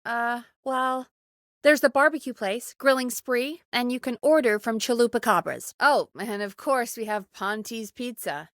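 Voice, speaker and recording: A woman's voice: on the high side, a little slow, dry recording, studio quality. A woman voice actor playing an earnest, dedicated radio producer who gets exasperated easily, in natural video game dialogue. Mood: casual, musing. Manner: earnest, expressive, exasperated at times.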